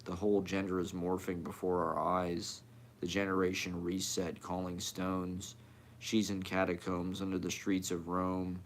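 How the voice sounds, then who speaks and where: A man reads poetry in a low-pitched voice that stays very even. He delivers it in short, clipped fragments, one image at a time. A faint layer of ambient noise sits behind him. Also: unhurried. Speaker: poet. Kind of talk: poetry reading.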